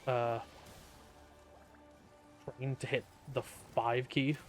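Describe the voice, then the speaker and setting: A man's voice: medium pitch, flat delivery, drawn-out words. A man casually commenting and joking while streaming a game. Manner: laid-back, joking, slangy. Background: game music.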